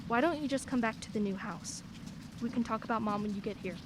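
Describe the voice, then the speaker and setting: An actress playing a middle-aged single mother, soft and soothing, with self-deprecating humor. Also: fairly high pitch, quick pace, noisy background, close to an everyday voice. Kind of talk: radio play acting.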